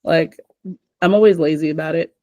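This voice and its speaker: A woman's voice: deep voice, moderate pace, dark tone, no room sound. A young adult woman hosting a casual chat, rambling with lots of filler words.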